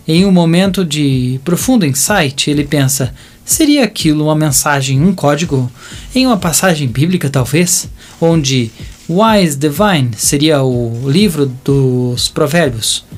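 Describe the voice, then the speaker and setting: A man's voice: on the high side, expressive, measured pace. A Brazilian man narrating a scripted audio drama in a theatrical way, stretching out some phrases.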